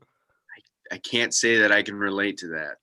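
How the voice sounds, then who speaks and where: A man's voice: on the low side, measured pace, pushed and bright, clear, resonant tone. A man acting an upbeat, earnest UFO-hunting sidekick who consoles and encourages, in a comedy table read. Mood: casual, musing.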